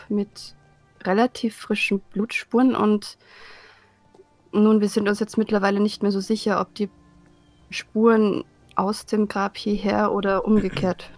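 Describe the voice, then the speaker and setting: A woman's voice: medium pitch, a little mellow, no room sound. A woman playing a tabletop role-playing game, casually narrating her character.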